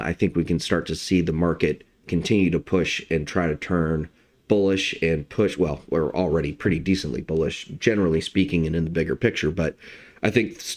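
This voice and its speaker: Deep-voiced guy doing a chill morning market stream, a bit hoarse, lots of uh's and um's. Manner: relaxed, lots of fillers like uh and um. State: slightly hoarse. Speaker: trading analyst host. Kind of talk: casual live-stream market commentary.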